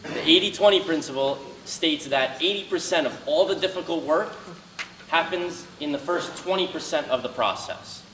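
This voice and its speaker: A man's voice: fairly high pitch, normal speed. A young man speaking to students as a guest speaker, earnest and motivational, answering questions. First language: Albanian. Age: young adult. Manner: earnest, motivational, loud at the start. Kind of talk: talk to students with Q&A. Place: classroom. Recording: roomy, from a distance, faint noise.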